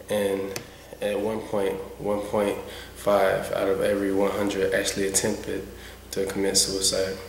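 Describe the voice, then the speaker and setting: Flat-toned male narrator, echoey room. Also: lowish voice, creaky. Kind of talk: scripted educational narration. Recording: echoey room, faint noise.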